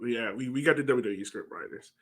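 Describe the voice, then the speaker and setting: A man's voice: medium pitch, quick pace, husky. An American man in his thirties chatting casually about sports as a podcast host on a video call. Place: home room, indoors, on a video call.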